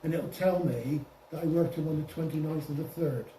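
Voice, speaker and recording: A man giving an informal software demonstration to a group, explaining step by step with some hesitation and self-correction. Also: fairly high pitch, brisk. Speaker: presenter at an amateur radio club. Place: indoor club meeting room. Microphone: distant room microphone. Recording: echoey room, distant mic, faint noise.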